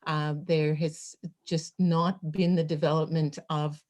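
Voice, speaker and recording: A woman speaking unscripted at an academic webinar over a video call, pausing often with fillers and restarts. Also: low-pitched, little pitch movement, a little mellow. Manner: hesitant, many fillers and restarts. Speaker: chapter author speaking at a webinar.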